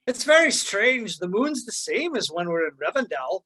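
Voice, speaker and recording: A man's voice: high-pitched, sing-song, normal speed, bright, forceful tone, husky, exaggerated voice. A man voicing a puzzled, earnest young hobbit in a comedic amateur table read.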